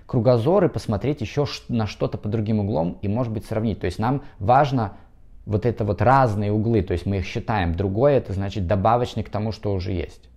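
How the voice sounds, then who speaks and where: Russian male English teacher, 30s, low warm voice, lapel mic. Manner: explains slowly, switches between languages, models pronunciation. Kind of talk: video lesson to camera. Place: bright indoor room.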